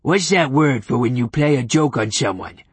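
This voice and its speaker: A man voicing a guileless, cheeky talking pet hound in an audiobook, plain-spoken and eager, with a gravelly voice. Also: mid-range voice, expressive, moderate pace, no echo, pitched above the performer's own voice. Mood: amused, curious. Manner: plain-spoken, naive, easily offended. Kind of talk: audiobook character acting.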